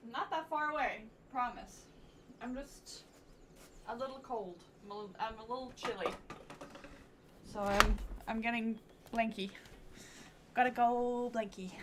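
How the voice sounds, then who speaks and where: Girl streaming a game, highish voice, takes her time, playful and cutesy little comments, bit of noise. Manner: playful, cutesy word play. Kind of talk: casual live gameplay commentary. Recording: faint background noise and game ambience.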